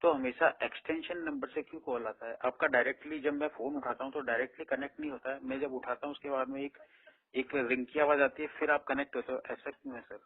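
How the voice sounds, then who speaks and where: A man's voice: medium pitch, steady tone, fast talker, husky. A man in a phone conversation as a borrower, persistent and questioning but polite in how he addresses the other party. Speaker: borrower on a collection call. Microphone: phone line. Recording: tinny, band-limited phone call recording.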